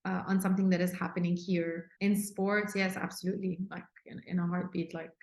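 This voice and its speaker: A woman's voice: lowish voice, flat delivery, fairly quick, darkish tone. Young woman from the uae chatting away, lots of little laughs and restarts, kinda echoey room. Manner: chatty, laughs often, many restarts and fillers. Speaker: guest, livery designer. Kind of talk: casual interview chat. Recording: roomy echo.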